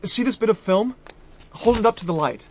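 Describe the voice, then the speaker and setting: A brash young diplomat. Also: male voice, sits high, fairly quick, slight background noise, low-quality recording. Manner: brash, slangy, excitable. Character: young American man, brash diplomat nephew. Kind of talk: radio drama acting.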